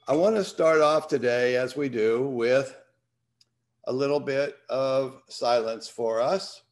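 A man's voice: medium pitch, faint music behind. An older man hosting from his home study over a video call, talking in a reflective way and reading a poem aloud. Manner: unhurried, reflective. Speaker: host. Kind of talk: casual talk and poetry reading. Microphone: video call webcam.

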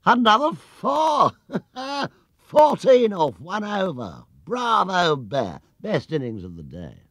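A man voicing a thrilled cricket spectator with drawn-out, exclamatory cheers in a storybook reading. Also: sits high, sing-song, a bit bright, no room sound, clean studio sound, voice pushed up, heavily acted.

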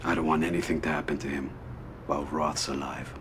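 Game role: a quietly menacing American crime boss, very deep, measured. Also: male voice, steady tone, background noise. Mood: cold, quiet. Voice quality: husky. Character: cold, controlled mafia boss, quietly menacing. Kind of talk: dramatic video game acting.

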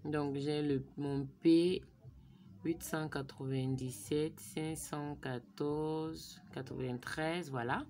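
A woman's voice: sits low, steady tone, takes it slowly, dark tone, a little hiss or hum. A woman narrating a phone screen recording as a tutorial, thinking aloud as she types in numbers and sometimes dropping to a murmur. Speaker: vlogger.

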